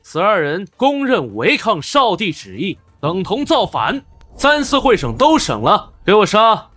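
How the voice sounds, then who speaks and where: An actor playing a brash, defiant young official in a Chinese historical comic drama, with a taunting, declamatory manner. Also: male voice, sits high, huge pitch swings, unhurried, sharp tone, no echo, soft background music, full character voice. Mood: furious, commanding. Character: brash young male official of beggar origin, defiant and commanding.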